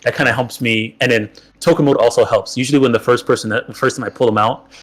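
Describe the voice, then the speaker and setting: Male guest game master, mid-pitched, halting and filler-heavy in casual talk. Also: fairly even intonation, a bit bright. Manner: halting, lots of fillers and restarts. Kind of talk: casual chat about tabletop games.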